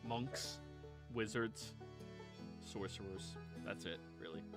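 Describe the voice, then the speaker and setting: A man speaking casually and haltingly as he improvises as game master of a tabletop fantasy game. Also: lowish voice. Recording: music playing behind the voice.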